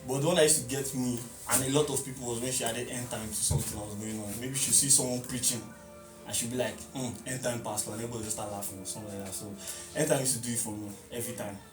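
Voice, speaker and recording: A man's voice: mid-range voice, fairly even intonation, very fast. A young man, speaking candidly and laughing easily about his mother. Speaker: interviewee. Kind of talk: reflective interview answers. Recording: roomy echo, distant mic, music behind.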